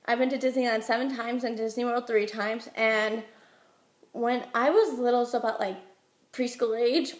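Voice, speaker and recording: A young American woman from Utah giving chatty, halting answers as a job applicant in an interview, while getting over a head cold. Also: a little high. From Utah, United States. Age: young adult. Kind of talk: informal phone interview answers. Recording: roomy echo.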